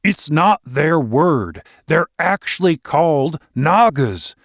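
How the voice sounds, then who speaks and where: A crude fantasy adventurer from an audiobook, played by a man, talks bluntly and in slang. The delivery is lively and lands close and dry. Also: a little high, slow, dry recording, full character voice. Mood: explaining.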